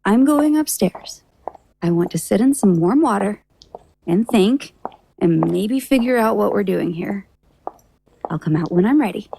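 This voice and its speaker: A woman's voice: medium pitch, animated, a little slow, creaky, long pauses, warm tone, a little hiss or hum, rough recording. An actress playing a weary, exasperated young woman with a dry, sarcastic manner in an audio drama. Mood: weary, irritated.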